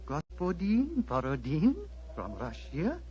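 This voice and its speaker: High-voiced, grave old abbot. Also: male voice, huge pitch swings, a little mellow, full character voice. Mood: courteous, grave. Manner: measured, formal, paternal. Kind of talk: 1940s radio acting. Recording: muffled, noisy old 1940s radio broadcast.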